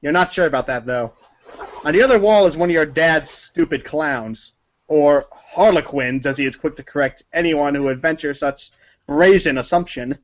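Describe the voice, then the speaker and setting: A man with a fairly high voice narrates a story aloud. His delivery is dramatic and emphatic, like staged read-along theater. The recording sounds slightly dull and lo-fi. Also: normal speed. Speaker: narrator of a group reading.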